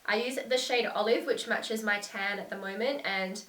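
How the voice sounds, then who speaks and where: A woman's voice: mid-range voice, normal speed, a bit bright, recorded from a distance. A young woman with a congested, nasal voice from a cold, gushing about beauty products in a casual vlog. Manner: gushing, enthusiastic. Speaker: beauty vlogger. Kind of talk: casual product review to camera. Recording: echoey room, a bit off mic.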